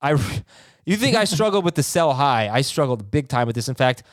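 A man's voice: mid-range voice, very animated, brisk, dry recording, studio quality. Chatty opinionated podcast host, man. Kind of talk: casual sports podcast chat.

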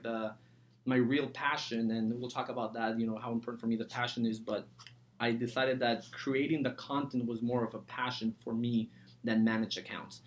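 Podcast guest: man, forties, from Mexico, mid-pitched voice, conversational entrepreneur. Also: dull audio. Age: 40s.

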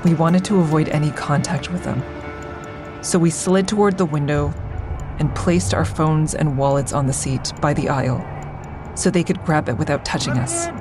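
An actress playing a traumatized woman survivor in an audio drama, recounting her ordeal in a measured, deliberate way. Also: low-pitched, music in the background, natural voice. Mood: somber, haunted. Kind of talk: full-cast audio drama monologue.

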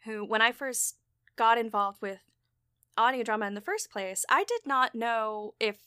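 Bright-voiced woman hosting a video chat. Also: a little high, animated, normal speed, clean tone, no echo, studio quality. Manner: warm, enthusiastic, laughs often. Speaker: podcast host and audio drama creator. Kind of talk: casual interview chat. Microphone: video call.

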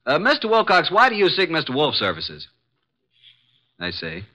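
An actor playing a brash, eager young detective's assistant in old radio drama, with slangy, wisecracking talk. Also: male voice, on the high side, wildly swooping pitch, normal speed, bright, forceful tone, exaggerated voice. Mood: excited, alarmed.

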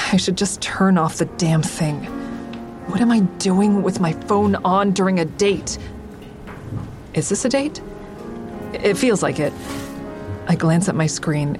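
Female audio drama lead, acted: low register, introspective, wry, guarded narrator, music bed underneath. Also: natural voice. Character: guarded woman, a stand-up comic and crisis-line volunteer, narrating. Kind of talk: first-person audio drama narration and dialogue.

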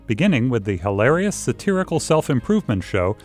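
A man hosting a podcast network trailer, reading scripted promo narration in an upbeat, even announcer manner. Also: medium pitch, brisk, faint music behind. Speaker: network host.

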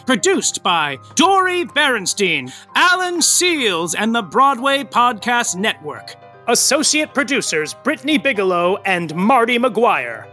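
An arch male soap-opera announcer in a comic audio drama. The delivery is highly animated and bright, over light music. It is theatrical and mock-grand, treating every line as a gushing flourish. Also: heavily acted. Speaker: narrator. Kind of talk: scripted comic narration and credits.

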